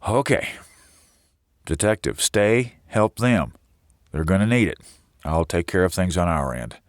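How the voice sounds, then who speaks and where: A man acts out a grave leader in an audio drama with a very low voice and a lively, moving pitch. He is terse and urgent, giving bad news in a dry, close recording. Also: measured pace, dry recording. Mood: pained, placating.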